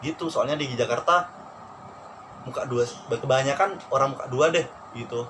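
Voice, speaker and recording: A young man from Probolinggo with a Madurese-tinged Indonesian accent, telling stories candidly and in a relaxed way in a casual chat. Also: medium pitch, normal speed. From Probolinggo, East Java. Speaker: radio announcer and aspiring actor. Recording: noisy background.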